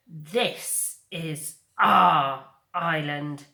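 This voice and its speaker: A woman's voice: sits low, very slow, bright, forceful tone, exaggerated voice. A woman voicing a taunting, snarling young female bear with a menacing streak in a storybook reading.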